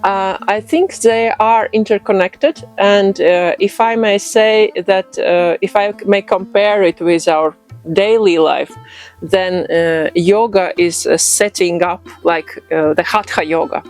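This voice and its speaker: A middle-aged woman with a Latvian accent, a yoga expert answering interview questions in a thoughtful way, pausing often with fillers. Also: mid-range voice, fairly even intonation, darkish tone, soft background music. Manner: thoughtful, with frequent pauses and "uh" fillers.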